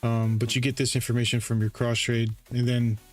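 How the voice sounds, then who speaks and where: A man hosting a casual screen-share tutorial, explaining settings in a halting way with frequent self-corrections. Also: medium pitch, level tone. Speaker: trading bot developer and livestream host. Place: live voice call on a screen-share stream. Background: music.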